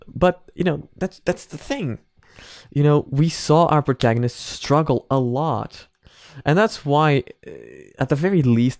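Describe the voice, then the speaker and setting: A man's voice: medium pitch, a little mellow, dry recording. Opinionated young man in a dry recording. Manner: opinionated, emphatic, mildly sweary. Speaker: reaction video host. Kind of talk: casual off-the-cuff opinion commentary.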